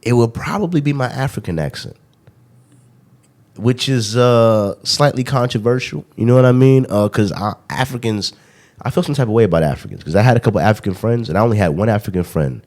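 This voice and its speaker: A man's voice: mid-range voice, fairly quick, darkish tone. A young man hosting a podcast in casual chat, telling loose stories and often checking in with "you know what I mean". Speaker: podcast host.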